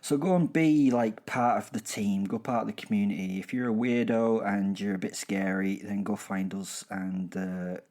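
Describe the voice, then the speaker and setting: A gleeful werewolf killer on the run, played by a man, with a lowish voice and a British accent. He narrates like a chatty horror serial host, boastful and wry, wandering off into dark little asides. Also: steady tone, normal speed, few pauses, natural voice. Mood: casual, wry. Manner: wry, rambling, darkly humorous asides. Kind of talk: chatty first-person dramatic storytelling.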